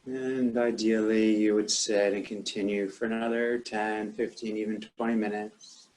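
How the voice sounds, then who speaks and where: Male meditation teacher, lower-pitched voice, calm and measured, leaving long pauses between instructions.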